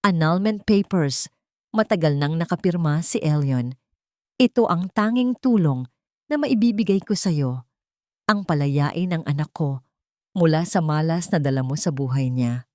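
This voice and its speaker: A cold older matriarch in an audio story, played by a woman narrator. She is stern and cutting, giving orders with open contempt in a fairly low voice, recorded dry. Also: animated, unhurried, darkish tone, no echo. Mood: scornful command. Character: cold, contemptuous older mother-in-law, harsh and commanding.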